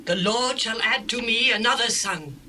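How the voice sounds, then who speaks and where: Biblical young mother: thankful, devout, intensely bright tone, light background noise, scripture drama. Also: on the high side, normal speed. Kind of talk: dramatized Bible reading, audio drama acting.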